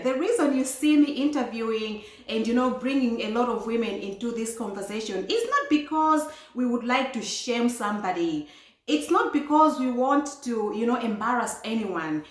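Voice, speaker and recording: A woman from Malawi, hosting a talk show in an earnest way and laughing between phrases. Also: fairly high pitch. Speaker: host and empowerment coach. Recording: echoey room.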